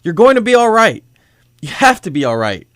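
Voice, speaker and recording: A man acting a reluctant superhero in a radio play, sincere and unsure of himself. His dramatic delivery swings widely in pitch, recorded close and dry. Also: very animated, fairly quick, a bit bright, no echo. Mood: desperate.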